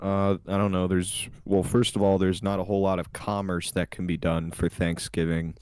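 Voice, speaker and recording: Deep-voiced young man, rambling and crude. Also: level tone, moderate pace, darkish tone, clear, resonant tone, no echo. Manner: rambling, crude, loose storytelling with many restarts. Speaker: podcast co-host. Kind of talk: casual chat.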